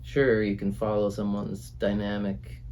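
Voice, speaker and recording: Low, mellow-voiced man reflecting in a studio. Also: monotone, a little hiss or hum. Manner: reflective, halting, many fillers. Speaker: music producer and drummer. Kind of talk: casual conversation. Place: recording studio.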